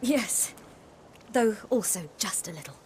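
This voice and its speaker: An actress playing a kind, brave young woman warrior in a fantasy game, speaking in a calm, reassuring way. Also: medium pitch, a little slow, slight background noise, close to an everyday voice. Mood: calm, gentle. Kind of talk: cinematic fantasy game acting.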